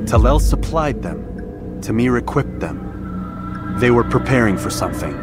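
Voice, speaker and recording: A man's voice: mid-range voice, very animated, a little slow, loud music behind the voice. A man playing a stoic, confident young assassin in a video game, terse and serious in delivery. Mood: resolute. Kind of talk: dramatic game cutscene acting.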